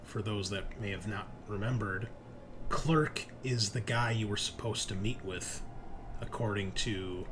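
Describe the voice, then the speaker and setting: A man's voice: lowish voice, a little slow, noisy background. A man narrating a tabletop horror roleplaying game as game master, in a conversational, descriptive, improvised way.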